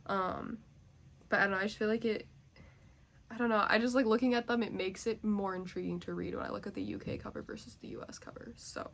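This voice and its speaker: A young woman rambles through a casual book vlog in a stuffy, congested voice, stopping often to hedge and fill. Her mid-pitched voice drops into a gravelly fry. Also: animated, brisk, fairly bright tone, a little hiss or hum. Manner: rambling, hesitant, many fillers. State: nasally congested. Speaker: book vlogger. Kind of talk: casual chat.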